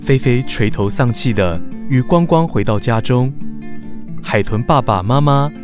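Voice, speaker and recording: A man narrating a children's radio drama in measured, expressive storytelling. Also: medium pitch, close to an everyday voice. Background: background music.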